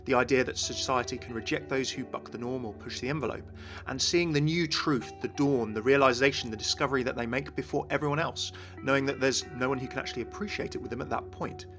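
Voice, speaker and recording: A man's voice: medium pitch, fairly even intonation, quick pace. A man presenting game lore as an enthusiastic scripted video narration. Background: music.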